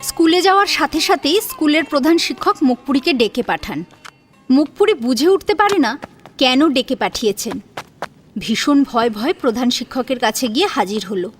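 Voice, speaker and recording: High-pitched female story narrator. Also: lively intonation, moderate pace, faint music behind. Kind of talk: dramatic scripted audio-story narration.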